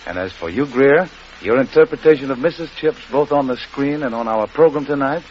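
A man's voice: medium pitch, a little fast, a little mellow. Formal man hosting vintage radio. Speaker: host of radio drama program. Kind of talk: scripted 1940s radio presenting. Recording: muffled, faintly noisy vintage radio broadcast.

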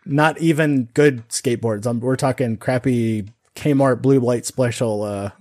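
A man's voice: mid-range voice, measured pace, a little mellow. A man in his forties with an American accent, chatting casually and sharing anecdotes. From Nevada, United States.

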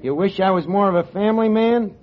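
An actor playing a wisecracking tavern manager in 1940s radio comedy, with fast street slang. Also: male voice, sits high, natural voice. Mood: wheedling. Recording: lo-fi old radio broadcast with hiss and studio audience.

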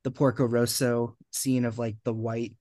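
A man's voice: medium pitch, level tone, a little slow, mellow, no room sound, clean studio sound. A male podcast host talking about a film in a hesitant, trailing way with frequent ums. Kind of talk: casual podcast film discussion.

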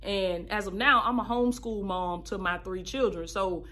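A woman, a podcast host giving heartfelt life advice in a preachy, animated way, repeating phrases for emphasis and calling listeners baby. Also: mid-range voice, normal speed. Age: 30s. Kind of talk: casual advice monologue.

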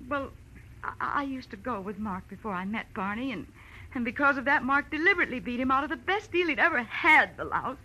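A woman playing a defiant, sharp-tongued young femme fatale in a 1940s-style radio drama, tart and dramatic. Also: high-pitched, exaggerated voice. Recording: dull vintage radio recording.

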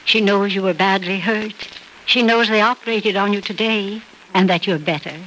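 A woman playing a gentle, protective middle-aged mother in a classic radio soap opera, speaking in careful, hushing reassurance. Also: mid-range voice, normal speed, close to an everyday voice, rough recording. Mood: soothing. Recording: old radio recording with faint noise.

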